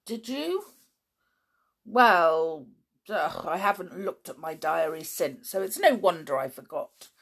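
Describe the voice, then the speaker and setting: A woman's voice: fairly low pitch, expressive, slow pace, gravelly, breathy edge, exaggerated voice. A woman voicing an irritable, absent-minded middle-aged male scientist who is vague one moment and furious the next. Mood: peevish. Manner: peevish and vague, bursting into fury.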